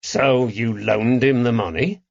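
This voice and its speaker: A man's voice: medium pitch, measured pace, gravelly, no room sound, muffled recording. Man playing a stern, barking police constable. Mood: stern, probing. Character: gruff, furious male police constable interrogating suspects. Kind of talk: audiobook character acting.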